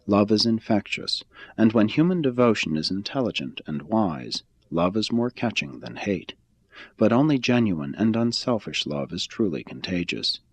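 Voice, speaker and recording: A man with a slightly low voice reads a spiritual text aloud. His pitch moves widely and expressively across each sentence. The delivery is formal and solemn, with measured, deliberate phrasing, like an audiobook. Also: very animated. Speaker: reader of a religious text. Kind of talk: scripted spiritual narration, audiobook reading.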